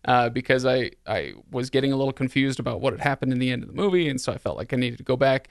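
A man speaks as a podcast host in a casual, chatty style, teasing and joking as he goes. His voice sits at a middle pitch. The sound is dry, with no room echo. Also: lively intonation, fast talker, gravelly. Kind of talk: casual chat.

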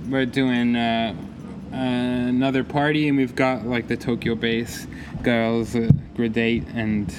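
Relaxed guest, mid-range voice, hesitant delivery, clear bar noise under him. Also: male voice, medium pitch. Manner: laid-back, halting, filler-heavy. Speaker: guest, club event organizer. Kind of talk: casual drinking chat. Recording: noisy bar ambience.

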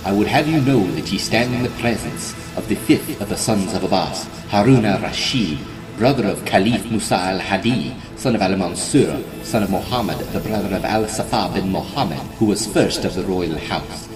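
An actor playing the Caliph's minister, reading out a formal proclamation. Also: male voice, lowish voice, expressive, normal speed, natural voice. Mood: stern. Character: Ja'far, the Caliph's stately minister. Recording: distant voice, loud music behind.

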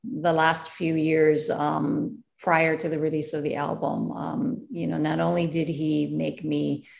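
A woman, a jazz singer, talking thoughtfully in a casual interview, pausing often to find her words. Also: low-pitched, level tone, creaky, darkish tone. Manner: thoughtful, many fillers and restarts. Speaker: jazz vocalist guest.

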